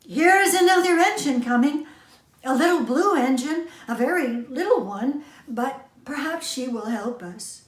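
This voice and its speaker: A woman's voice: high voice, a little slow, roomy sound, pitched above the performer's own voice. A woman voicing a little toy clown in a children's storybook, calling out with eager hope. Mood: excited, hopeful. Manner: excited calling out.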